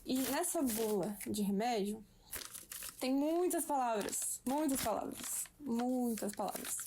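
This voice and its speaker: Young brazilian woman teaching a friend at home, slow and playful, kinda high voice, little bit of hiss. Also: rough recording. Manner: slow, patient, playful explaining. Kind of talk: casual home-made lesson.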